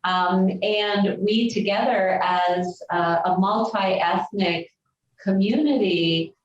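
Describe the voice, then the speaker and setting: Nun talking earnestly and thoughtfully, sounds far off in a big echoey room. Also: female voice, mid-range voice, slow pace, lo-fi sound. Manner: earnest, thoughtful, warmly agreeing. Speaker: religious sister. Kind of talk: reflective group sharing. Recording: very echoey room, distant mic, faint noise.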